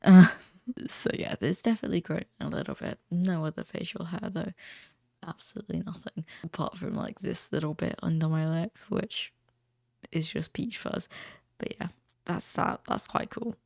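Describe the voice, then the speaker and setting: A teenage man with a crackly, recently deepened voice, chatting casually and ramblingly as a vlogger. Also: steady tone, normal speed, gravelly, a little mellow, no echo, studio quality. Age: teens. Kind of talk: casual chat to camera.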